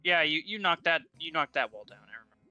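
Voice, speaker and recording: A young man with a bright, forceful voice, chatting loosely over a video game. He laughs a lot and trips over his own sentences. The sound is dry and close, with faint music underneath. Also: a little high, animated, fast, no room sound, highs cut off. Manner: laughs often, rambling with restarts. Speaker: co-host, friend on the stream. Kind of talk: casual gaming chat.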